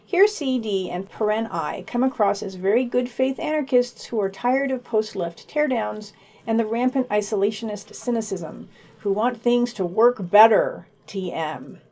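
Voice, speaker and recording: A woman's voice: a little high, moderate pace, warm tone. A woman co-hosting a podcast, reading scripted summaries and reviews with her own commentary.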